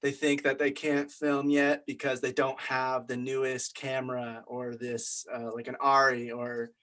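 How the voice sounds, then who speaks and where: A man's voice: mid-range voice, level tone, a little slow, fairly bright tone. A man leading a group discussion, explaining things in an informal, conversational way. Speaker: group meeting leader.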